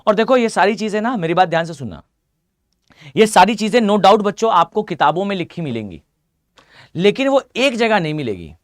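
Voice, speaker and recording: Indian guy teaching an online class, voice a bit hoarse, pretty high pitched, mixes in english. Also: very animated, normal speed, no echo, studio quality. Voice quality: mixes Hindi with English phrases. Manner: addresses students directly, asks them to reply. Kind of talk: live online lecture.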